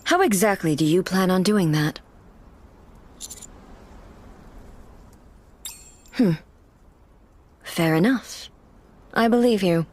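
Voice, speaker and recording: A woman's voice: fairly low pitch, animated, a little slow, a little mellow. A woman playing a wry, unflappable female operative in a game, with dry composure. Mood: wry, resigned. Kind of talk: dubbed game cutscene voice acting.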